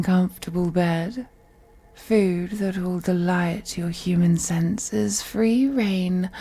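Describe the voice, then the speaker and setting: A woman playing a wealthy, possessive female vampire who toys with her prey in a soft, teasing, whispery voice for an intimate audio roleplay. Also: medium pitch, slightly rough. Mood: coaxing, possessive. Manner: slow, teasing, purring, often slipping into whispers.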